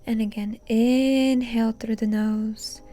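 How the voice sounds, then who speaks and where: A woman leading a guided meditation in a soft, breathy, often near-whispered voice, calm and gently instructing. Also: fairly high pitch, slow, soft background music. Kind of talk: soothing guided meditation narration.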